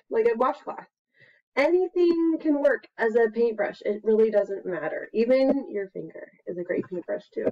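An American woman around forty chats casually to camera as a preschool teacher demonstrating an activity, in a playful, expressive manner. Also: a little high. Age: 30s to 40s. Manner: playful, expressive, with exclamations and sound words. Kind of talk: casual instructional chat to camera. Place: small plain room at home, indoors. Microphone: computer webcam mic at about arm's length. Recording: dull, lo-fi webcam sound.

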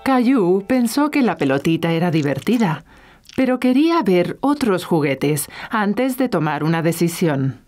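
A woman's voice: lowish voice, very animated, a little slow, darkish tone, soft background music. A woman narrating a children's cartoon as a kindly storyteller, reading scripted lines. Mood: calm storytelling. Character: kindly storyteller narrating a little boy's day.

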